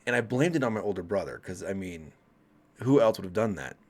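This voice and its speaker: A man in his late thirties from Colorado tells his ghost stories straight to camera with a somewhat low voice. He rambles, restarts his sentences and tosses asides to his viewers. The delivery is casual and unscripted. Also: animated, brisk. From Colorado, USA. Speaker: vlogger. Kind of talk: casual ghost story telling.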